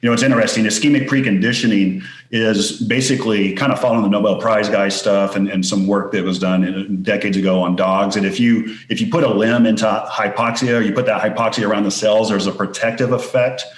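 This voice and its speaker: A man from West Texas with a West Texas accent, a physical therapist explaining things as a guest in a casual podcast interview, relaxed with many restarts. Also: lowish voice, brisk, hardly any pauses, roomy sound.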